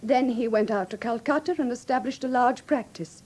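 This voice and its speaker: A woman with an English received pronunciation accent playing a frightened, grieving young gentlewoman who speaks formally and earnestly in a classic radio drama. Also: on the high side, moderate pace, close to an everyday voice. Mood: grave, troubled. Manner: formal, earnest, precise storytelling.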